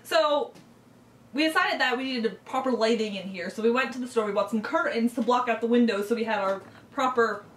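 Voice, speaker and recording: A woman's voice: on the high side, fairly bright tone. Distant, roomy woman telling silly stories. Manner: playful, silly, halting. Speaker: co-host of a YouTube channel. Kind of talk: casual improvised storytelling chat. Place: indoors, in a room set up for filming. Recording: roomy, distant mic, faint noise.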